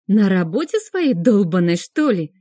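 Best friend for an audiobook, played by the female narrator: chatty, bossy, machine-gun delivery, exclaiming and scolding, soft dark timbre. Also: medium pitch, big pitch swings, no echo, studio quality. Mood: surprised, displeased. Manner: rapid-fire, exclamatory, scolding.

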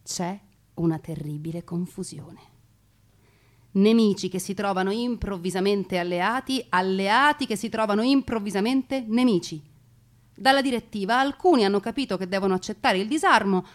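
A woman performing scripted theatrical storytelling, dramatic and deliberate with her pauses. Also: medium pitch, lively intonation. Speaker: stage narrator and performer.

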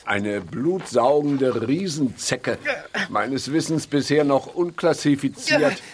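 An actor playing an unruffled, curious gentleman naturalist in a German radio drama, speaking in a measured, fascinated way. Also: mid-range voice, lively intonation, a little hiss or hum.

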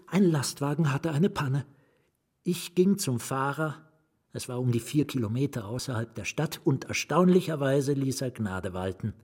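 An actor playing a melancholy asylum patient in a radio drama, confiding in short, broken, wistful phrases. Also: male voice, medium pitch, mellow, slightly rough.

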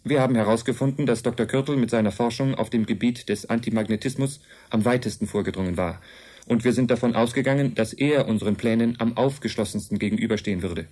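An actor in a German radio drama playing a calm, earnest envoy of an advanced race, speaking in a measured, matter-of-fact way. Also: male voice, mid-range voice, close to an everyday voice. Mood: earnest, explanatory.

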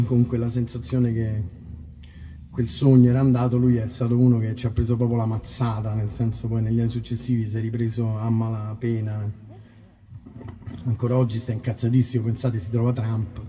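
A man's voice: medium pitch, level tone, normal speed, warm tone, a little hiss or hum. A man with an Italian accent chatting casually between songs at a concert, rambling and joking with self-deprecating irony. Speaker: musician performing a live concert. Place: outdoor festival stage in a park. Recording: live open-air concert, distant mic, music. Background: acoustic guitar music.

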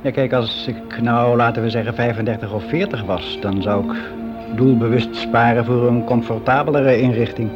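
A man's voice: medium pitch, steady tone, normal speed, over music, natural voice. An actor playing a fussy middle-aged man who confides in a hesitant, matter-of-fact, conversational way, as in a radio play monologue. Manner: hesitant, matter-of-fact, self-justifying, as if answering an interviewer. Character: frank, fussy middle-aged man confiding about his private life. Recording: dull lo-fi radio recording.

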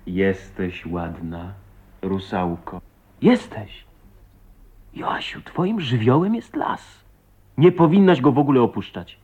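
An actor playing an adoring, wry young husband with an expressive, tender delivery in a radio drama. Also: male voice, lowish voice, slow pace, pauses often, husky, lo-fi sound, full character voice. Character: adoring, teasing, philosophical husband living in the woods. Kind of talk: Polish radio drama acting. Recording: radio play with faint noise, ambience.

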